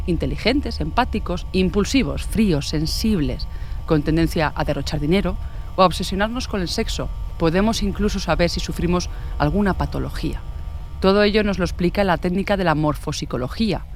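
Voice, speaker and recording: A woman's voice: lowish voice, brisk, a little hiss or hum. A woman from hosting a podcast, reading scripted true-crime narration. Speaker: podcast host and author.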